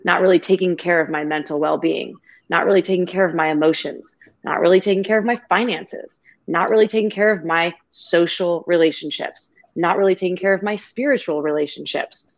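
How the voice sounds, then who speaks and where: A woman's voice: fairly low pitch, fairly quick. A woman guest on a video-call interview, talking in an effusive, grateful and rambling way. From half Greek, half Irish family. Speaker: podcast guest.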